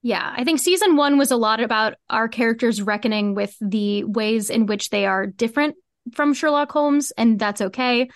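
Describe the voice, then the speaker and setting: Higher-pitched woman, earnest and reflective. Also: moderate pace, no room sound, clean studio sound. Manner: earnest, reflective, explains at length. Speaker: podcast guest, co-creator of a fiction podcast. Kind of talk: conversational interview answers.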